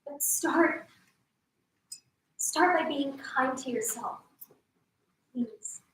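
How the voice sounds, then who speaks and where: A young actress in a staged reading playing an earnest, wounded, tender friend. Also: female voice, sits high, very slow, fairly bright tone, heavily acted. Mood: tender, subdued. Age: young adult. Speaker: actor. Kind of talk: staged play reading, naturalistic drama. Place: large echoey indoor room. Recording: echoey hall, distant mic, faint noise.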